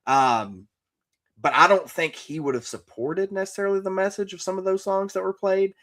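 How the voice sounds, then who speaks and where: A man co-hosting a talk podcast, sharing casual opinions in a measured, explanatory way. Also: high-pitched, a bit bright. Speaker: podcast co-host.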